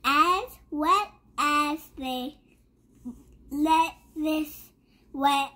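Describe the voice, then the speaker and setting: A child reading a rhyming picture book aloud in a halting way, sounding out and repeating words. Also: sits high, bright, forceful tone. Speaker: young reader.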